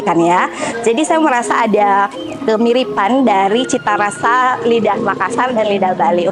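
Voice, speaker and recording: A young woman who owns a restaurant talks in an interview about running her business, speaking in a measured way with frequent pauses and hesitation fillers. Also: mid-range voice. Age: young adult. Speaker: restaurant owner. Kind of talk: interview testimonial. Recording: music bed behind voice.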